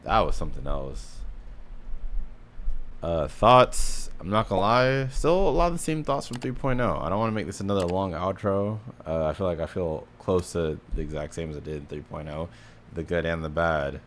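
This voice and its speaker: Low-voiced young guy, blunt and slangy. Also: lively intonation, moderate pace, a little hiss or hum. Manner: slangy, blunt, profane, says bro often. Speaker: gaming reaction video creator. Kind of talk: casual reaction commentary.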